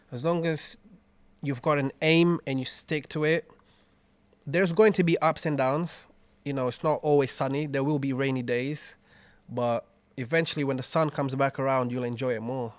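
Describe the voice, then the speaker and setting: A man from Angola talks calmly and thoughtfully as a podcast guest, dropping "you know" between his thoughts. His voice sits at an ordinary pitch. The sound is close and dry, with no room around it. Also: no echo. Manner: calm, reflective, frequent "you know". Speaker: guest rapper. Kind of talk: reflective podcast conversation.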